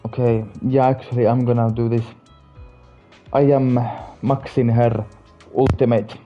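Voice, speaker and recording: A man's voice: medium pitch, little pitch movement, unhurried, muffled recording, soft background music. A man from Finland streaming a game and chatting casually with viewers in short, laid-back remarks. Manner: laid-back, brief murmured remarks and idle vocalizing. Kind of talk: casual chat with viewers while gaming.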